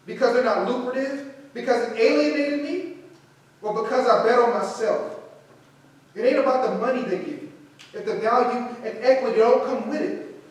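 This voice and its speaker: A man's voice: very high voice, normal speed. A man with an American accent playing a proud, principled, struggling idealist in staged theatrical dialogue. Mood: impassioned, firm. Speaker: actor in a staged reading. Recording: very echoey hall, distant microphone.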